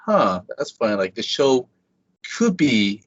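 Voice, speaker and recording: A man's voice: medium pitch, sing-song, unhurried, no echo. A man hosting a podcast, chatting casually and eagerly with a guest. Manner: chatty, enthusiastic fan. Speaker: podcast host. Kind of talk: casual interview chat. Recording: dull lo-fi sound, dry.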